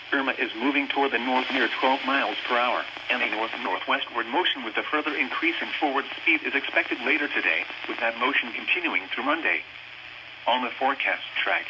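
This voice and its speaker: A man's voice: medium pitch, dull audio. Synthetic male weather announcer over noisy radio. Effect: weather radio speaker. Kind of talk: formal weather bulletin reading.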